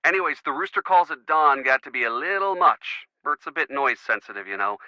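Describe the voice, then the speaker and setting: An actor playing a chatty, jokey college quarterback boyfriend with an American accent, in casual video game phone dialogue. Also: male voice, fairly high pitch, brisk, no room sound, muffled recording. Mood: amused storytelling. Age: young adult. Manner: jokey, animated, slangy.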